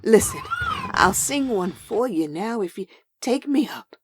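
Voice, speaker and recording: A woman playing a devout, excitable churchgoing woman in a comic dub, exclaiming with fervor. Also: fairly high pitch, measured pace, breathy edge, dry recording, studio quality, exaggerated voice. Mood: rapturous. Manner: fervent, exclaiming. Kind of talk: comic dub character acting.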